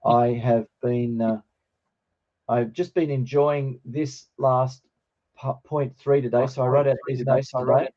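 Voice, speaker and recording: A middle-aged man with a slightly croaky voice, teaching an informal online lesson in a hesitant, explanatory way. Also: medium pitch, flat delivery, unhurried, mellow. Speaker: teacher of New Testament Greek. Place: online video call with screen share. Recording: dull, lo-fi online call.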